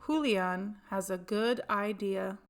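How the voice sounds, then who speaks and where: A woman reading a children's picture book aloud as a storyteller, with deliberate phrasing. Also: mid-range voice. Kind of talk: children's read-aloud narration.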